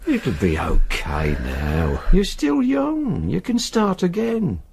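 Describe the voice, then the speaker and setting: An actor in a radio drama playing an older family friend, protective and smitten, husky and earnestly coaxing. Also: male voice, high voice, wildly swooping pitch, takes it slowly, darkish tone, full character voice. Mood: tender coaxing. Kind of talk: naturalistic radio drama acting.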